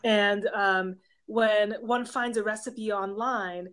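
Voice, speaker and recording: A woman's voice: mid-range voice, fairly even intonation, slow, fairly bright tone. A woman in her thirties speaks with a Hungarian accent, explaining ideas patiently and listing one example after another. She talks over a webcam on a video call from a book-lined home office. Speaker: professor of pastoral theology, guest. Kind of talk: casual interview conversation.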